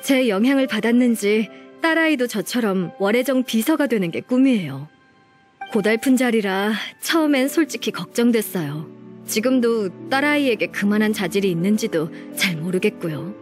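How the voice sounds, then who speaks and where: A woman's voice: a little high, animated, normal speed, faint music behind, natural voice. A woman playing an earnest, polite young secretary in a video game, heartfelt and a little hesitant toward an admired senior colleague. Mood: tender, hopeful.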